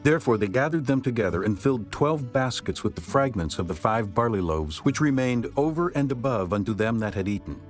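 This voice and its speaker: A man narrating scripture from a script in measured, formal storytelling. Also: mid-range voice, expressive, soft background music.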